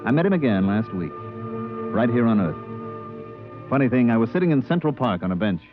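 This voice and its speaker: An actor playing a decent, wary spaceship purser, telling and acting the story in 1950s radio drama style. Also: male voice, mid-range voice, sing-song, moderate pace, natural voice. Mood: reflective. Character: plain-spoken male spaceship purser, wary, decent, reflective. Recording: narrow-band old radio with music.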